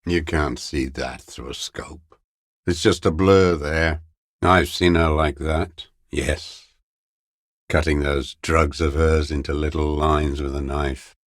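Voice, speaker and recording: An actor playing a bitter, paranoid old communist deserter in a video game, with a weary, gravelly voice and a halting, brooding, contemptuous mutter. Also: male voice, very deep voice, fairly even intonation, no echo, studio quality, full character voice. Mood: brooding, furtive. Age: older. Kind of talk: video game character voice acting.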